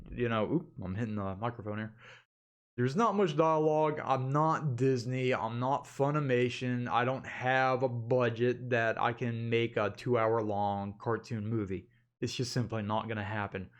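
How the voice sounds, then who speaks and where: A man hosting a casual update vlog, talking informally and in a rambling way to his viewers as an animator. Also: mid-range voice. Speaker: animator and channel host.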